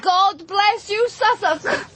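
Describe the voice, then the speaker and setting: An actress playing a tough, haunted woman with a husky, breathy voice, speaking intimately in a radio drama. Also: very high-pitched, takes it slowly, background noise. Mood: drowsy, teasing. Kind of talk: broadcast radio drama acting.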